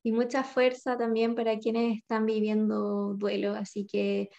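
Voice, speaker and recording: A woman in her thirties hosting an interview over a video call, speaking slowly with halting, repeated words. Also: fairly high pitch, completely flat delivery, creaky, warm tone. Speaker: interviewer host. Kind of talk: interview conversation. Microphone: video call webcam. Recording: slightly dull video call audio.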